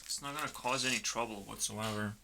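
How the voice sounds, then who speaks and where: A man rambling casually in a halting, musing way, often trailing off, as if talking through his work on a stream. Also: fairly low pitch, steady tone, gravelly. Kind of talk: rambling casual chat while coding. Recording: noticeable background noise, keyboard clicks.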